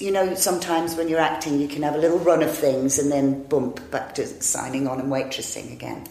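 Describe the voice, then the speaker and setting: Woman in her fifties, chatty storyteller, low-ish voice, echoey room. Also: darkish tone. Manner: chatty, self-deprecating, many restarts. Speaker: actress and improviser, interview guest. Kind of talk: rambling anecdotal storytelling.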